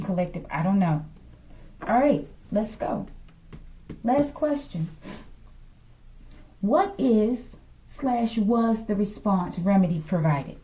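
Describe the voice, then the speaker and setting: A woman's voice: mid-range voice, warm tone, far from the mic. An American woman giving a casual, improvised tarot reading to viewers in a musing way, often checking in with "okay". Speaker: tarot reader.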